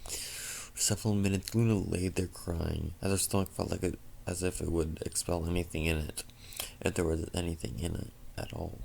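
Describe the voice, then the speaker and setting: Deep, creaky-voiced tired man reading a story. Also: little pitch movement, a little mellow. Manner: halting, stumbling over words. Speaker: narrator reading fan fiction. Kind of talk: amateur story narration with asides. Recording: faint background noise.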